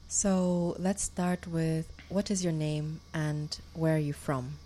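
A woman interviewing a guest, asking calm, plain questions. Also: low voice, slow.

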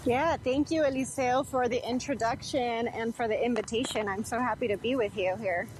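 A woman historian and professor answering interview questions in a measured, earnest way. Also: fairly high pitch, fairly even intonation, slight background noise, rough recording. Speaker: guest historian, assistant professor.

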